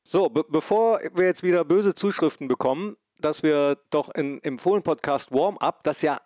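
A man's voice: fairly high pitch, normal speed, darkish tone, dry recording, clean studio sound. A man hosting a podcast from home over a phone link, chatty and self-deprecating, stuffy with a cold. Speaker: podcast host. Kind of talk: casual remote chat.

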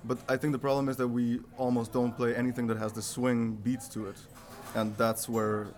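A man's voice: mid-range voice, level tone, normal speed. A man, the band's violinist, answering interview questions thoughtfully and often repeating himself. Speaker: violinist in a band. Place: music venue. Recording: busy crowd chatter behind.

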